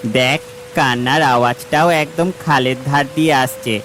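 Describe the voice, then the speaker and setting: A man voicing a bold, excitable village boy in a cartoon, with a brash boyish manner. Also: medium pitch, normal speed, faint music behind, lightly acted. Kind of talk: cartoon voice acting.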